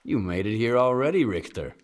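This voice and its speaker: An actor playing a guarded, terse lone mercenary in a video game, with low-key delivery. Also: male voice, big pitch swings, brisk, big-room sound, lightly acted. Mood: businesslike. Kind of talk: video game dramatic acting.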